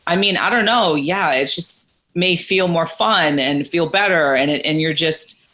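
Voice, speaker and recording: A candid woman guest with a naturally low voice talks in loose, rambling bursts, full of likes and restarts. Her tone is bright and forward, and she comes through a home video-call mic. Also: a little slow. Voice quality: naturally deeper voice. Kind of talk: candid casual interview. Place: living room at home.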